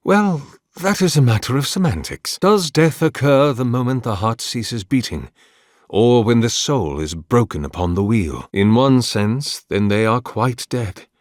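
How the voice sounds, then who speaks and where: An actor playing an ancient, devout priest in a video game, speaking with weary, formal, melancholy courtesy. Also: male voice, medium pitch, no echo, clean studio sound. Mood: coolly evasive. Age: older. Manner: formal, measured, eloquent, solemn. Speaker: priest of a temple relic. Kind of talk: scripted video game dialogue.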